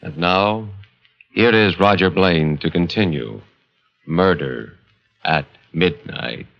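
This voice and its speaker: An actor playing an ominous announcer of a 1940s radio horror series, with a dramatic delivery. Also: male voice, low-pitched, slow, gravelly, full character voice. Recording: muffled old radio, music behind.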